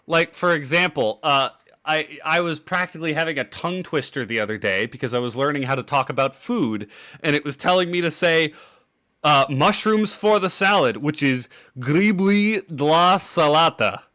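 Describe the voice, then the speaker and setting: Bright-voiced casual man. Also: on the high side, moderate pace. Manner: laid-back, laughs often, trails off and restarts. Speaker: podcast co-host. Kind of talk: casual chat.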